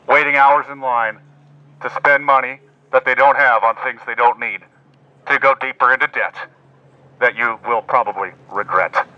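A man's voice: medium pitch, no room sound, highs cut off. A man giving mocking, declamatory mock-news narration through a bullhorn, outdoors. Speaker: prankster video host.

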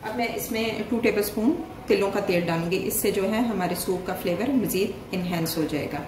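A woman walks viewers through a home recipe one step at a time, friendly and plain, with small pauses. Her voice sounds a little distant from the microphone, in a reverberant room. A faint hiss sits under it. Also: medium pitch. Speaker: cooking channel host. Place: home kitchen. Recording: echoey room, voice a bit distant, faint noise.

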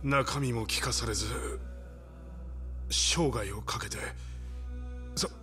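An actor playing a nervous, loyal young gangster underling in a game drama, hesitant and stammering. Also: male voice, mid-range voice, takes it slowly, pushed and bright, faint music behind. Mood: nervous, unsure. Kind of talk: cinematic game drama acting.

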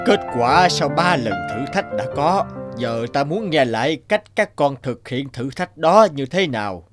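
A man voicing an old, stern but fair fairy-tale king, measured and authoritative. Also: mid-range voice, animated, music in the background, natural voice. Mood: formal. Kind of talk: cartoon fairy-tale dubbing.

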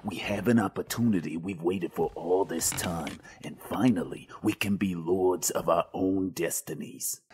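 An actor playing a ruthless, ambitious mob boss in a 1920s gangster audio drama. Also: male voice, deep voice, unhurried, hardly any pauses, a little mellow, slight background noise. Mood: commanding.

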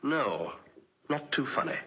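An actor playing a sceptical, easily irritated young gentleman officer, clipped and dry, in a 1940s radio play. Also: mid-range voice, big pitch swings, takes it slowly, slightly rough, full character voice. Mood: irritated, puzzled. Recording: dull vintage 1940s radio recording.

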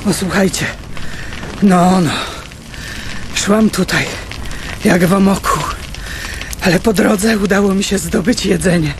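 An actress playing a generous bombing survivor in a radio play, consoling her family with gentle, halting encouragement. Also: medium pitch, noisy background, lightly acted. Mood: consoling, weary.

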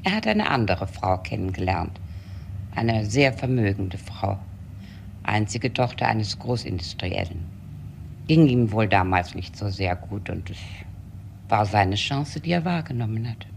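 An actor playing a discreet, loyal employee of a wealthy household, answering in a reserved, measured way in a crime drama. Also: male voice, on the low side, animated, gravelly, slight background noise.

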